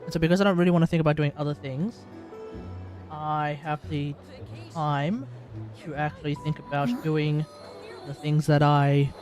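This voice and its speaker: A man's voice: on the high side, little pitch movement, moderate pace, pauses often, a little mellow, faint music behind. A man hosting a casual gaming livestream, rambling and thinking aloud. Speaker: livestream host.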